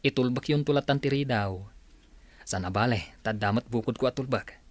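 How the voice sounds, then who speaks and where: A man's voice: medium pitch, fairly quick, natural voice. Man acting as earnest, reassuring grown son. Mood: calm, earnest. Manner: calming, respectful, earnest. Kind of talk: Ilocano audio drama acting.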